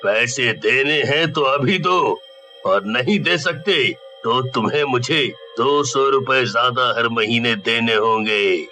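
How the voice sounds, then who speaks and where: A man voicing a scornful, gloating devil in a village bully's body for a cartoon story, with a menacing, drawn-out delivery. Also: medium pitch, pushed and bright, soft background music. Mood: threatening. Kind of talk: Hindi cartoon story acting.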